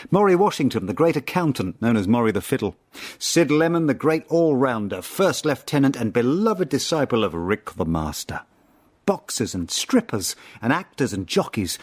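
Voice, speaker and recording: A man's voice: on the low side, a little mellow, dry recording. An actor playing a reflective man narrating his childhood in a radio drama, in wry, incantatory lists.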